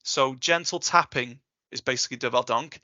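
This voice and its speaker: Brief: enthusiastic male tutor, bright forceful tone, dull lo-fi call audio. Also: mid-range voice, moderate pace, no echo. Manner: enthusiastic, jokey, reassuring. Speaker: guest speaker, Welsh tutor. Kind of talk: casual Q&A chat.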